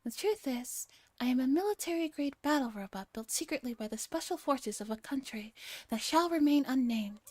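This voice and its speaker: A woman's voice: sits high, lively intonation, normal speed, a little mellow, dry recording. A woman voicing a clumsy, boastful young robot maid from a visual novel, making solemn, over-dramatic declarations. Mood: solemn boasting.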